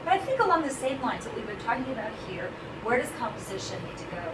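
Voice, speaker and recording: A woman's voice: fairly high pitch, sing-song, fast talker. A woman graduate student interviewing a scholar, chatty and friendly, with hesitant fillers. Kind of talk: casual academic interview. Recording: echoey, distant, loud background noise.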